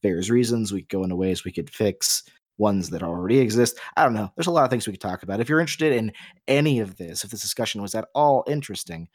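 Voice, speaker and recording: A man's voice: on the low side, fast, no room sound, studio quality. A man co-hosting a casual, opinionated discussion, explaining his points at length in a rambling, emphatic way. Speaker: podcast co-host.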